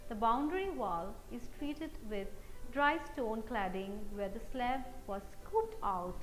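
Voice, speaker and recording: A woman's voice: on the high side, lively intonation, slow, soft background music. A woman with an Indian accent reading scripted news as a presenter. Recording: echoey room, faint background noise.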